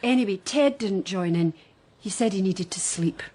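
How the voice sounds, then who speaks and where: An actress playing a devout, dreamy cult follower, earnest and rapt, in a radio drama. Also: female voice, medium pitch, expressive, normal speed, background noise, lightly acted.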